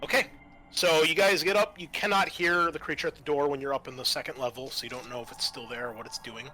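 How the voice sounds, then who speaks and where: A man narrating and refereeing a tabletop role-playing game as game master, explaining rules in a halting way. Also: a little high, fairly even intonation, pushed and bright.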